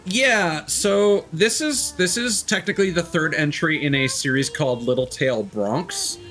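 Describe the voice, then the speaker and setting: A man's voice: fairly high pitch, measured pace, bright, forceful tone. A man giving casual, rambling live commentary as he thinks aloud through a video game. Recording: music behind the voice. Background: game music.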